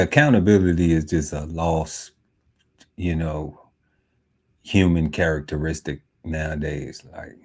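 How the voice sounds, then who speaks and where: Man, late forties, very deep voice, laid-back casual chat, lots of fillers. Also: darkish tone. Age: 40s. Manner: laid-back, drawn-out talk with many fillers and restarts. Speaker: podcast panelist. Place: home podcast setup.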